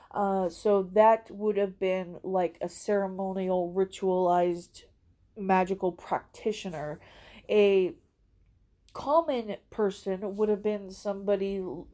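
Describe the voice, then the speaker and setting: A woman's voice: medium pitch, mellow. A woman with a congested, stuffy nose hosting a casual educational talk, often checking in with "okay?". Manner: chatty, frequent "okay?" tag questions. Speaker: host.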